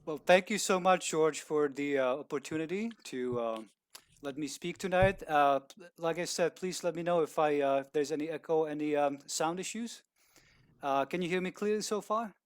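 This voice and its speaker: A man with a Czech accent speaking as a guest on a video call, polite and halting, with many fillers and restarts. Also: on the high side, smooth. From Czech Republic. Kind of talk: casual talk on a video call.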